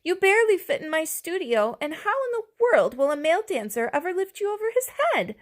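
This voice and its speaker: A woman reading a storybook aloud and putting on the voice of a strict, fussy dance teacher who gives crisp commands. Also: extremely high pitch, big pitch swings, a little fast, voice pushed up. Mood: dismayed. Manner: commanding, precise, exclaiming.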